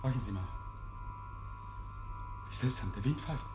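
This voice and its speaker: A man's voice: on the low side, lively intonation, a little slow, over music. Jittery guilty man, wildly swinging voice. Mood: uneasy. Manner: stammering, repeating words, swinging between hushed and agitated. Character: nervous, guilty man feigning jovial innocence to police. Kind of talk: 1950s German radio play acting. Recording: vintage 1950s radio recording.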